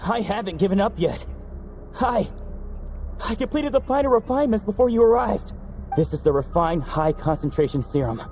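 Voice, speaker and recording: An actor playing a stubborn, desperate young knight who speaks earnestly and emotionally in a game cutscene. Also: male voice, sits high, lively intonation, moderate pace, a little mellow, soft background music. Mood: defiant.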